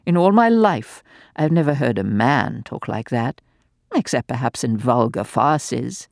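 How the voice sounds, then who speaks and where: Reader doing a spiteful old aristocratic lady, high sneering voice, swooping theatrical delivery, slow and dry. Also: lively intonation, vocal fry, dry recording. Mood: mocking. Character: spiteful old aristocratic woman, cackling and venomous.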